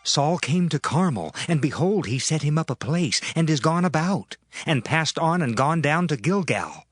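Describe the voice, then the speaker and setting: A man plays a messenger of ancient Israel giving a report in a scripture drama. His voice is on the high side and very animated, dramatic and declarative, with faint music behind. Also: moderate pace, dry recording.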